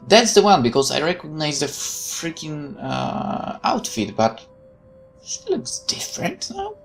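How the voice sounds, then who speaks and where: A man hosting a let's play, reading a visual novel aloud and adding casual off-the-cuff commentary. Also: mid-range voice. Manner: off-the-cuff remarks between read passages.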